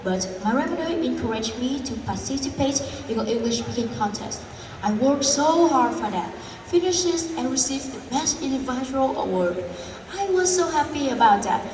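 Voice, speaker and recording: A woman's voice: high-pitched, animated, moderate pace. A woman playing an upbeat male confidence coach for students in a school role-play, speaking in an earnest, recited way. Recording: echoey hall, distant mic, background noise.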